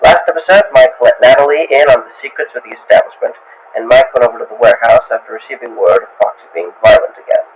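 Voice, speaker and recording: A man's voice: medium pitch, fast. A man announcing an audio drama, reading a scripted recap as the show's announcer. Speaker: show announcer. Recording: clearly noisy background.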